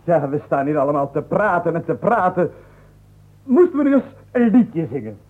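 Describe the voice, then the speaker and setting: A man playing a fussy, excitable nobleman and long-suffering husband in a comic radio play. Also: a little high, moderate pace. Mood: impatient. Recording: tinny narrow-band old radio recording.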